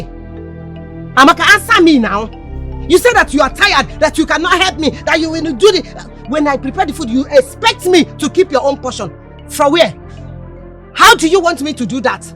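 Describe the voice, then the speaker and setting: A woman's voice: sits high, fairly bright tone. A woman with a Nigerian accent acting an indignant, scolding village woman in a film drama, firing off rhetorical questions.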